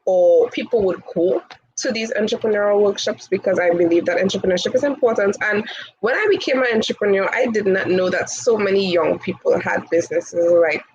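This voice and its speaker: Woman, twenties, Tobagonian accent, outspoken, husky, muffled lo-fi sound. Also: medium pitch, brisk, darkish tone. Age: 20s. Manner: outspoken, laughs often. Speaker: interview guest, hall chair. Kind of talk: casual interview.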